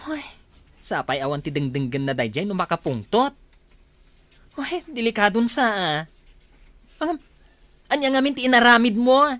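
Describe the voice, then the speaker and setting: A woman's voice: mid-range voice, big pitch swings, moderate pace, pauses often, a bit bright, no room sound. A woman with an Ilocano accent playing an anxious, scolding, concerned friend in a radio drama. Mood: worried.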